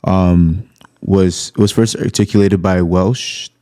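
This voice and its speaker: A man calmly correcting the host in a deadpan way. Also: sits low, slow pace.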